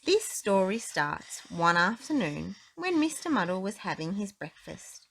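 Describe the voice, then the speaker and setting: Animated woman reading a children's storybook. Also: medium pitch, unhurried, gravelly, slight background noise, poor audio. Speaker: children's book narrator. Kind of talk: expressive storybook read-aloud.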